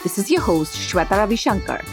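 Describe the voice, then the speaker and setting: A woman hosting a podcast, bubbly and animated as she opens the show. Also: mid-range voice, fairly quick. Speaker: podcast host. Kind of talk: podcast intro and chat. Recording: music bed behind voice. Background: intro music.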